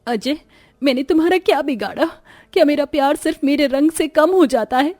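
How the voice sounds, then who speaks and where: A woman acts out a humble, devoted wife in a cartoon melodrama. Her voice is high and soft-toned, with an earnest, pleading, long-suffering manner. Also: brisk, lightly acted. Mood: hurt, pleading.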